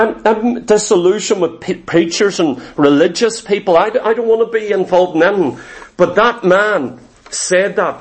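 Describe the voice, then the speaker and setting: A middle-aged Irish man preaching a personal testimony, telling his story earnestly in short, clipped phrases and often repeating himself. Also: high voice. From Ireland.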